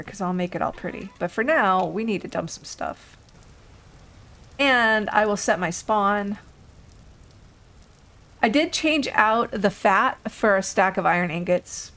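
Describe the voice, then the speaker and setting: A woman's voice: mid-range voice, a bit bright. A woman hosting a casual let's play, thinking aloud unhurriedly while getting over an illness. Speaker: gaming video host.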